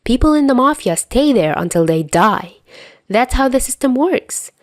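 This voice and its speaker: A woman's voice: high-pitched, lively intonation, normal speed, dry recording, studio quality, pitched above the performer's own voice. A woman voicing a flustered young man who sputters and protests indignantly in an audiobook-style story.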